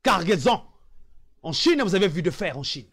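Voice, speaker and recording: A man from the Republic of the Congo speaks in a very high, animated voice that swings widely in pitch. He hammers points home with repeated phrases, then sinks into low, weary asides. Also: moderate pace, husky. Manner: emphatic, repeats phrases for emphasis, drops to low sighing asides. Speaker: online commentator. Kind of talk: impassioned political monologue.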